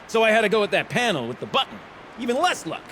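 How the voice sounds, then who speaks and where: An actor playing a chatty, eccentric older treasure hunter in a video game, with verbose, flowery, theatrical phrasing. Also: male voice, sits high, very animated, quick pace, a bit bright, slight background noise, full character voice. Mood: rambling, sheepish. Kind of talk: video game character acting.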